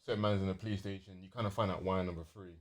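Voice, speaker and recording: A man's voice: low-pitched, steady tone, racing. Man explaining things in casual slang. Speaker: podcast co-host. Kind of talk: casual gaming podcast chat.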